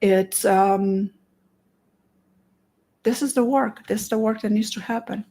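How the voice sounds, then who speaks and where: A woman from Romania with a Romanian accent, a therapist giving an earnest promotional talk, with many restarts and stretched pauses. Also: medium pitch, fairly even intonation, mellow. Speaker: therapist and conference presenter.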